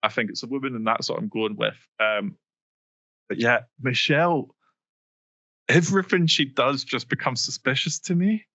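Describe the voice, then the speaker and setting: A man co-hosting a podcast, chatting casually and quickly with lots of ums and side remarks. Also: mid-range voice, bright, forceful tone, dry recording. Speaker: podcast co-host. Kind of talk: casual chat about a reality show.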